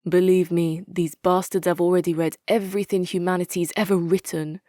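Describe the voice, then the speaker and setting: An actress playing a hard-nosed, articulate female military commander in a strategy game, speaking in a measured, wry, authoritative way. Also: on the low side, level tone, dark tone, dry recording, clean studio sound, natural voice. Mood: blunt, firm. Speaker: video game faction leader. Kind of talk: dramatic scripted game quotes.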